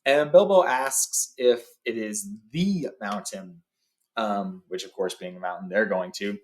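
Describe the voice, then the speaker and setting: A man's voice: lowish voice, a little slow. A man hosting a book read-along, informally telling and reading a story aloud.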